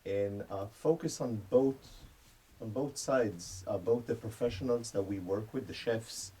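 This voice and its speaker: Husky guy in his 40s from israel, lowish voice, talks on and on about his work. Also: measured pace, warm tone. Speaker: guest spice merchant. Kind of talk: radio interview.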